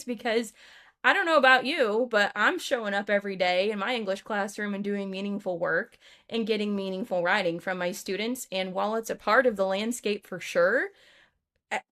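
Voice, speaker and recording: A woman hosting a relaxed conversation for fellow teachers. Her pitch sits in a middle range. She speaks eagerly and casually, often trailing into asides. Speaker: host, English teacher.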